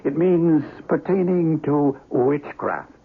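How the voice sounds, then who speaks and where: An actor playing a learned doctor who investigates the occult, speaking precisely and formally with ominous weight in a radio drama. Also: male voice, on the high side, takes it slowly, vocal fry, slightly rough, exaggerated voice. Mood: scholarly, grave. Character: erudite, grave male occult investigator and doctor. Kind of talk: 1970s radio drama acting. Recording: dull, noisy old radio broadcast.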